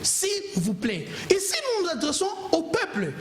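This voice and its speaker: A man's voice: extremely high pitch, big pitch swings, moderate pace, reverberant, slight background noise. A man from Kongo Central, arguing emphatically as a panelist in a political TV debate. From Kongo Central, DR Congo. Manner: impassioned, emphatic, pleading. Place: TV studio.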